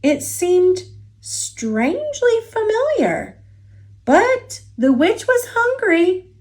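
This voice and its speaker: Slow, animated woman reading a storybook. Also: extremely high pitch. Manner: expressive, deliberate storytelling with drawn-out key words. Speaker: storyteller reading a picture book aloud. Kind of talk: children's story read-aloud, scripted narration.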